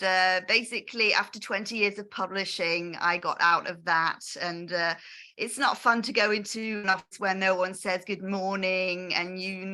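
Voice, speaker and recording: Bright chatty woman with Manchester accent. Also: mid-range voice. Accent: northern English, Manchester area. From Austria, grew up in England. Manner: chatty, friendly, rambling asides. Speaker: host of online product session. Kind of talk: presenting on a video call. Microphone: video call.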